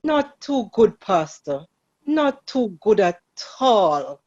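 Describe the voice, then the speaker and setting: A woman's voice: medium pitch, big pitch swings, slow pace, a little mellow, dull audio. A woman playing a prim, self-righteous village churchwoman in a radio drama. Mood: indignant.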